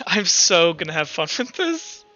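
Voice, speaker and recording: Man, very bright voice, tabletop game narration, casual, profane, muffled online audio, music behind. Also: high-pitched, sing-song, takes it slowly. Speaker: game master.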